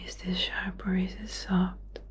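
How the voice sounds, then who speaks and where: A woman doing a whispered ASMR medical role play as a doctor, giving soothing, slow, repetitive instructions. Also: lowish voice, level tone. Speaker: ASMR performer playing a doctor.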